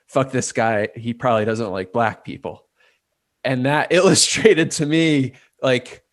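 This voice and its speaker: A man's voice: medium pitch, no echo, studio quality. A man, a guest in a casual interview, thinking aloud and weighing ideas from many angles. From Port Chester, New York. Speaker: guest, artist and designer.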